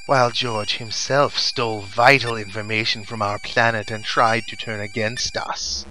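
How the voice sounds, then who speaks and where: A man's voice: lowish voice, steady tone, measured pace, lightly acted, poor audio. A man voicing a contemptuous alien overlord from a video game, declaiming in a commanding, menacing way. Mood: cold menace. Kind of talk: reading video game dialogue in character. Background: video game music and sound effects.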